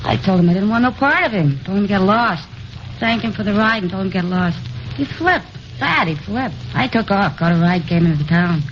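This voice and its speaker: Actress as a defiant teen runaway, slangy, dull old radio sound with faint hiss. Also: female voice, medium pitch, animated, fairly quick, natural voice. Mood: bored. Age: teens. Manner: slangy, tough-talking, dismissive. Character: sullen teenage runaway girl, tough and defiant. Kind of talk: 1950s radio drama acting.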